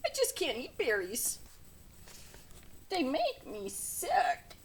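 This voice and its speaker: A woman voicing a small, plucky male mouse in a storybook, soft-spoken, gentle and reassuring. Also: high-pitched, wildly swooping pitch, takes it slowly, slight background noise, pitched above the performer's own voice, heavily acted. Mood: queasy, apologetic. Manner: soft-spoken, reassuring, singsong when lulling. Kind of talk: storybook read-aloud character.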